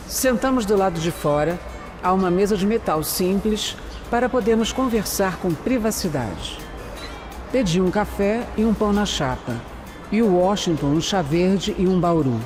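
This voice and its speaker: A Brazilian woman playing a composed, analytical detective who narrates her case in an audio drama with measured, deliberate delivery. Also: on the low side. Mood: reflective. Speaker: lead actress and narrator. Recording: loud music and street sounds behind. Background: music, urban street ambience with vehicles.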